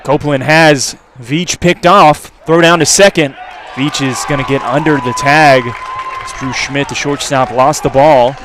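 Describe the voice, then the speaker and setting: A man's voice: mid-range voice, steady tone, measured pace, a bit bright. A man doing live radio play-by-play commentary of a baseball game from an outdoor ballpark. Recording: outdoor crowd and background noise. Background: ballpark crowd and ambient noise.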